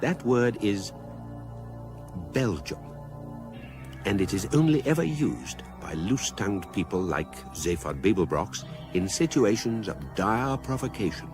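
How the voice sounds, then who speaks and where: An actor, a man, playing an urbane galactic guidebook narrator with a measured, deadpan delivery in a scripted radio comedy. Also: on the low side, over music.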